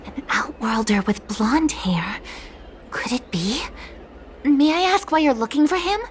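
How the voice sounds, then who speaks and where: A woman's voice: high voice, big pitch swings, unhurried, a little hiss or hum. A woman voicing an inquisitive, helpful young woman in a video game. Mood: curious.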